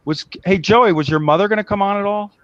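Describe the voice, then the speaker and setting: A man's voice: high voice, fast, dull audio, background noise. A man hosting a casual live video chat, rambling with restarts and firing off questions at his callers.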